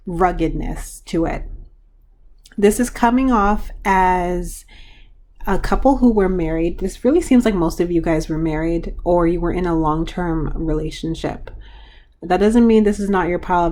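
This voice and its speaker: Hoarse woman, card reader, frank chatty delivery, low register, slight background hiss. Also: darkish tone. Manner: frank, chatty, trailing restarts. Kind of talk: pick-a-card reading to viewers.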